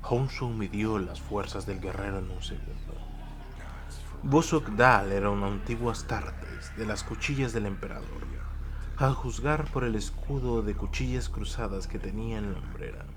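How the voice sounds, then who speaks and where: A man's voice: on the low side, expressive, moderate pace, slight background noise. A man narrating a scripted war story for an audio drama in a dramatic storytelling style. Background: prominent music.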